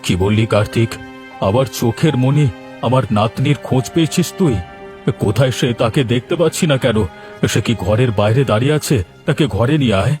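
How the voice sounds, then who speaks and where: A man's voice: mid-range voice, fairly quick, music in the background, close to an everyday voice. A man voicing a sick old grandfather in a cartoon, sorrowful, longing and lamenting. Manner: mournful, lamenting. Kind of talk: cartoon voice acting.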